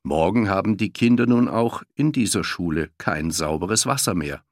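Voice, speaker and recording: A man narrating a children's audiobook in a lively storytelling style. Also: sits low, normal speed, no room sound, studio quality.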